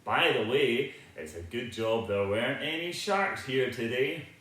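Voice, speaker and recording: A man's voice: medium pitch, expressive, measured pace, a bit bright. A man reading a children's picture book aloud in a theatrical, sing-song, inviting way. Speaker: storybook reader. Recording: very echoey, reverberant room.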